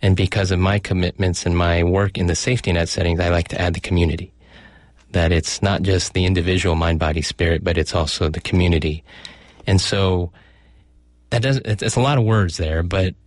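Low-voiced middle-aged man, physician guest, measured explainer, dry booth sound. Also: steady tone, no room sound. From United States. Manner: thoughtful, explanatory, with fillers and self-corrections. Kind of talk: conversational interview.

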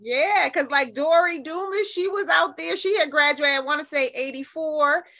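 Middle-aged American woman, high-set and very bright voice, colloquial storyteller in a radio interview. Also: moderate pace. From New Haven, United States. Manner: chatty, colloquial, emphatic, storytelling. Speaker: radio interview guest, nonprofit leader. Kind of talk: animated conversational interview.

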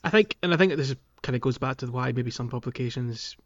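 A man co-hosting a casual gaming podcast, speaking in a halting way as he thinks aloud. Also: medium pitch. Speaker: podcast co-host. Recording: dull, band-limited voice recording.

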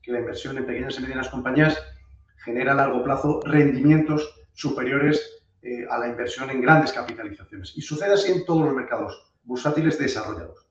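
Man, hoarse from a cold, halting finance talk, far from the mic in a very echoey room with a muffled sound. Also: medium pitch. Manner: hesitant, many fillers and restarts. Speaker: webinar speaker, fund manager. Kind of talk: presenting a finance webinar.

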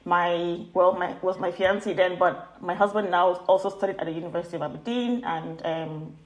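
A woman's voice: lowish voice, steady tone, normal speed, lo-fi sound. A woman from Nigeria with a Nigerian accent, speaking as an informal, chatty podcast guest who feels her way through her phrasing. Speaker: guest, university postgraduate admissions officer. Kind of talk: conversational podcast interview. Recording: roomy, echoey sound.

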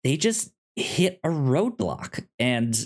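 A man co-hosting a podcast in casual film discussion, speaking haltingly as he thinks aloud. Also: fairly high pitch, sing-song. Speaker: podcast co-host.

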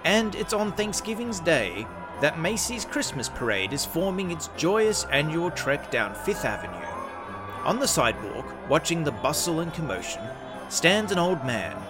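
A man's voice: a little high, big pitch swings, moderate pace. A man hosting and narrating a radio play in a scripted storytelling style. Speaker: host and narrator. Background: music.

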